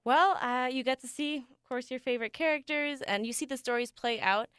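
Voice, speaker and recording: Upbeat young woman, smooth voice. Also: high voice, brisk, a bit bright, no echo, clean studio sound. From United States and Denmark. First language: bilingual English and Danish. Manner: upbeat, eager, laughs often. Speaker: ensemble ice skater, guest. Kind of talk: radio interview answers.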